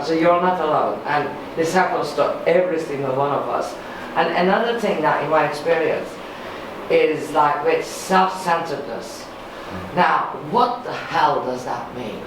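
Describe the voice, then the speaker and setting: A woman sharing her own recovery experience at a workshop, candid, self-mocking and emphatic. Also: low-pitched. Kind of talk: personal recovery share. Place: large meeting hall. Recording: echoey hall recording from far off, noisy.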